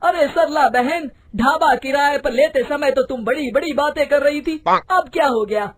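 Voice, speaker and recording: A woman's voice: sits high, moderate pace, lightly acted. A woman voicing a stern landlady in a storybook tale, insistent and threatening in manner. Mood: stern, demanding. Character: stern, demanding female landlady of a dhaba. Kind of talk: animated Hindi storybook voice acting.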